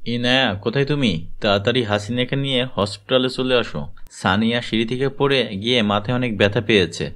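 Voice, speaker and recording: A man voicing a grave, gentle husband and father in a cartoon, earnest and measured. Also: mid-range voice, close to an everyday voice. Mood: urgent. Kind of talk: cartoon voice acting.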